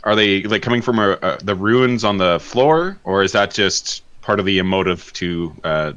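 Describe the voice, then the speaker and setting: A man playing a tabletop game, asking halting, hesitant questions in casual chat. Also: low voice, lively intonation, a bit bright, smooth, dull audio. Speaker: player.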